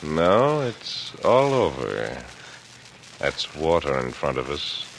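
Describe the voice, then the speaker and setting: Very deep-voiced, menacing older killer. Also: male voice, sing-song, gravelly, exaggerated voice. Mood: cold, taunting. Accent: American. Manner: taunting, deliberate, condescending. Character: coolly menacing older killer, smug, patronizing and calculating. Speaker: radio actor. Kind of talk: 1940s radio drama acting. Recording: noisy vintage radio broadcast recording.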